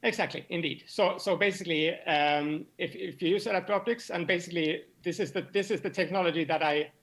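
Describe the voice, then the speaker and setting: Man, fairly high pitch, gravelly fry, explanatory interview talk, halting delivery with repeated words. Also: fairly bright tone. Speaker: astronomy professor, interview guest.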